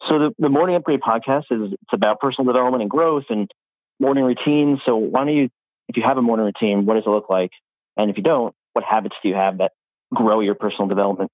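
Fast-talking podcast host on a tinny line. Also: male voice, on the low side, no room sound. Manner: relaxed, conversational, many "like" fillers. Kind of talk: casual interview chat.

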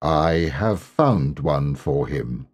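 A man's voice: very deep voice, lively intonation, extremely slow, darkish tone, pitched below the performer's own voice, heavily acted. A man plays an old donkey in a children's story, gloomy and forever aggrieved. The delivery is ponderous and drawn out, with dry sarcasm in every phrase. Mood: aggrieved, disdainful.

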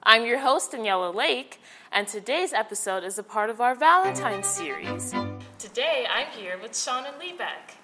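A woman's voice: on the high side, lively intonation, moderate pace, reverberant, music in the background. A teenage girl hosting a podcast interview, reading her questions with light laughs in between. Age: teens. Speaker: podcast host.

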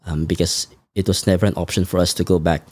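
A man from the Philippines, answering interview questions thoughtfully and with frequent pauses. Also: low voice, fairly even intonation, darkish tone, dry recording. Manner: measured, thoughtful, frequent ums. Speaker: podcast guest. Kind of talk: conversational interview.

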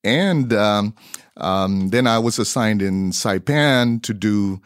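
A middle-aged Filipino-accented man speaks in a fairly low voice, recorded dry. He talks conversationally as a guest recounting his work, pausing to search for words as he goes. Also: clean tone, dry recording. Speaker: guest missionary broadcaster. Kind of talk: conversational interview storytelling.

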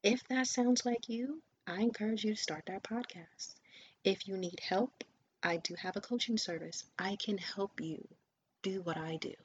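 A woman's voice: mid-range voice, normal speed. A woman podcast host and coach giving an earnest, encouraging motivational talk, often dropping her voice to a near whisper. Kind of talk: motivational monologue. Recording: dull lo-fi sound with faint ambient noise.

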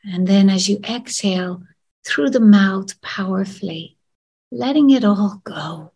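Woman doing a gentle guided meditation, super slow and soft, kinda creaky, drifts into whispers. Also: mid-range voice. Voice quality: breathy, husky. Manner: soft, soothing, often trailing into breathy whispers.

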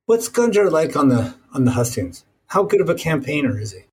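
A man's voice: a little high, sing-song, brisk, a little mellow, slight background noise. A man hosting a conversational interview in an informal, self-deprecating manner. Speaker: podcast host, interviewer.

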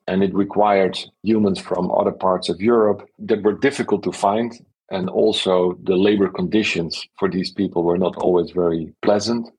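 Deep, husky-voiced man. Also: darkish tone, rough recording. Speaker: interview guest, venture investor. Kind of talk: conversational interview answers, explaining examples.

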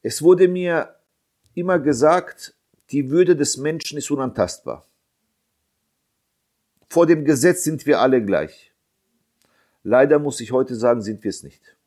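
A man's voice: medium pitch, lively intonation, a little slow, long pauses. Indignant German man around fifty. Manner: indignant, emphatic, repeats key points; sharp rhetorical questions. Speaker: interviewee, bereaved father. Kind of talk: impassioned interview testimony.

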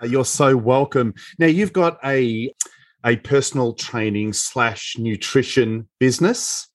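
A man's voice: mid-range voice, measured pace. Enthusiastic male podcast host. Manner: enthusiastic, blunt, casual swearing. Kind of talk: podcast interview.

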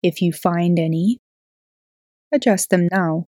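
A woman yoga teacher leading a guided relaxation in a soothing, unhurried, gently instructing way. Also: lowish voice, no echo, studio quality. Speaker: yoga teacher and podcast host. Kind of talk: guided relaxation meditation narration.

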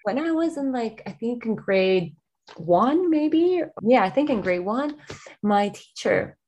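A woman's voice: on the high side, very animated, slow, mellow. A woman from Lebanon, a guest on an interview podcast over a remote call, answering earnestly and enthusiastically with frequent restarts.

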